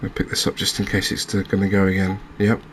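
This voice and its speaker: Man, low-pitched, little pitch movement, mild-mannered, cozy ASMR gameplay narration, faint noise behind. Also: monotone, quick pace, a bit bright. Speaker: gaming channel host. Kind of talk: relaxed ASMR game commentary.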